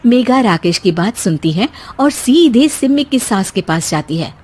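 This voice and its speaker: A woman's voice: mid-range voice, animated, normal speed, darkish tone, husky. A woman narrating a scripted family tale as a storyteller.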